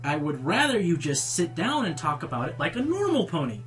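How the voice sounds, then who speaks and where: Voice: medium pitch, big pitch swings, brisk, fairly bright tone, soft background music. An actor playing an exasperated pony radio show host in a comedic radio play, theatrical, incredulous and sarcastic. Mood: dry, teasing.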